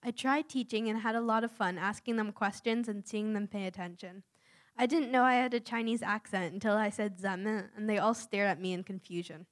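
A woman's voice: medium pitch, steady tone, a little fast. Young woman earnestly reading her account. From United States. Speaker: youth mission volunteer. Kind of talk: reading a prepared personal account aloud.

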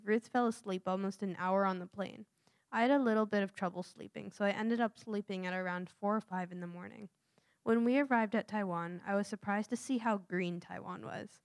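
A woman's voice: mid-range voice, no room sound, studio quality. Earnest young woman giving a testimony. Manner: earnest, nervous, with small laughs. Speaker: church mission trip speaker. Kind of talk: reading a prepared testimony.

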